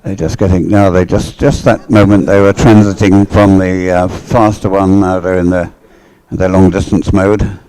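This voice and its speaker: A low-pitched male sports commentator talks through live race action conversationally. He explains things as he goes, in short phrases that halt and restart while he thinks aloud. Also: little pitch movement, normal speed, hardly any pauses, warm tone, smooth, low-quality recording.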